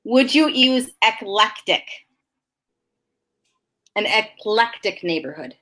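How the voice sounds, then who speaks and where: Bright, animated, high-pitched American woman. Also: slow. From United States. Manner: playful, interjecting. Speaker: co-host, real estate agent. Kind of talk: casual chat, reacting to a co-host.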